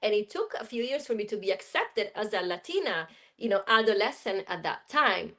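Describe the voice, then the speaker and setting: A woman's voice: medium pitch, big pitch swings, fairly quick. Woman telling stories in an interview. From Colombia. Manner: warm storytelling with many fillers. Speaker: film director. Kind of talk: casual interview conversation.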